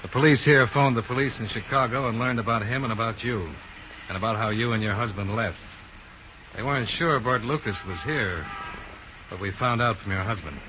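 An actor playing a cool, probing insurance investigator in a 1950s radio drama, asking brisk, clipped questions. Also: male voice, mid-range voice, lightly acted. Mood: calm, reassuring. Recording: dull noisy 1950s radio recording.

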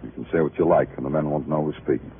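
A man's voice: very deep voice, fairly even intonation, rapid-fire, slight background noise. An actor playing a weary, sardonic male police detective in a 1950s radio noir drama, clipped and hard-boiled. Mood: cool, businesslike. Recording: muffled old radio recording, music behind.

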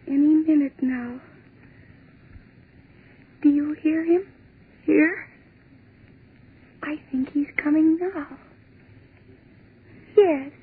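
A terrified young woman, trapped in a dark office, whispers and cries in a high, drawn-out voice. This is 1940s radio drama acting, heard through a thin, tinny old broadcast. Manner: hushed and fearful, breaking into cries.

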